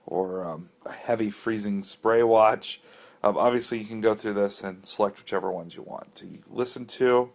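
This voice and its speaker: Casting: American male reviewer with a fairly low voice, walking through software off the cuff, plain-spoken and explanatory. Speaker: app reviewer giving a walkthrough. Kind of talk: casual unscripted tutorial narration.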